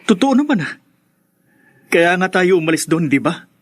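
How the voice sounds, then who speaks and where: Hurt earnest young son, pretty high male voice, radio drama style. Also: very animated, normal speed, husky, full character voice. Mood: bitter. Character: earnest young adult son, wounded and resentful.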